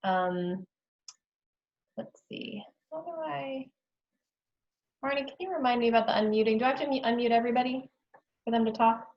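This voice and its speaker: A woman's voice: fairly high pitch, normal speed, long pauses, lo-fi sound. A woman moderating a question-and-answer session on a video call, friendly and a little flustered with the meeting controls. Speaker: career services moderator.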